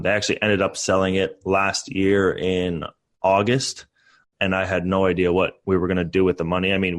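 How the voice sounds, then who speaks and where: Low-voiced young man in casual talk. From born in Canada, raised in the US. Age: 20s. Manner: conversational, upbeat, many fillers. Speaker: guest, real estate investor. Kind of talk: casual podcast interview.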